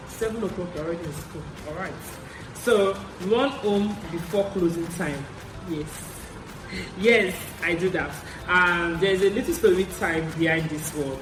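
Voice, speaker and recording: A young woman with a Nigerian accent tells personal stories in a casual, chatty vlog style, repeating phrases for emphasis. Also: low voice. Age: young adult. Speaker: vlogger. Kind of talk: casual confessional storytelling. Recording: echoey room with clear background noise.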